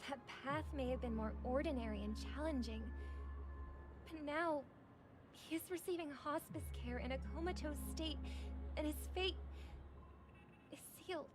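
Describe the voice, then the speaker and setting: A woman playing an earnest, principled young sister in a video game, speaking in a measured and articulate way. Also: high-pitched, lightly acted. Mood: resolute. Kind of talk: dramatic video game dialogue. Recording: music behind the voice.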